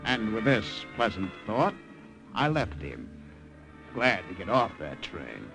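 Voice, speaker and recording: An actor playing a nervous American secret agent who narrates the story steadily and stammers and fumbles in scenes, in 1950s radio drama style. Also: male voice, lowish voice, very animated, slow pace, creaky, music in the background, full character voice, low-quality recording. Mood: narrating.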